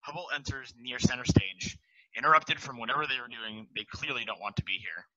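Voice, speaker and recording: A young man reading stage directions in a plain, steady way over a headset on a video call. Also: medium pitch, fairly quick, muffled recording, a crowd in the background. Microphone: gaming headset on video call.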